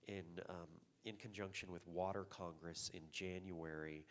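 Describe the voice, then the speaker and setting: A man with a very low voice gives a panel talk at a conference. He is friendly and loose, and he rambles with lots of fillers.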